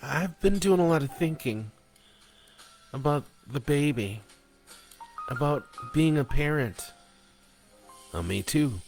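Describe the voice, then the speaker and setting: Male narrator doing a high, teary young wife, desperate and breaking down. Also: expressive, takes it slowly, pauses often, a little mellow, soft background music. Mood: pleading. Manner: sobbing, high-strung pleading.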